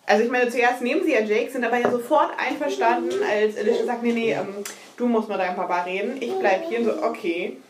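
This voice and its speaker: A woman with a somewhat high voice talks through a TV episode, laying out her reasoning point by point. She sits a little back from the mic in an echoey room. A faint hiss runs under her voice. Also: quick pace, recorded from a distance. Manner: analytical, argues points at length. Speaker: podcast co-host. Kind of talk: casual TV recap chat.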